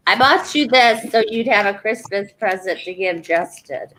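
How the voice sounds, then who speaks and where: Chatty woman in her forties, bright. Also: mid-range voice, normal speed, slight background noise, low-quality recording. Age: 40s. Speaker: person showing mail on a group call. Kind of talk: casual chat.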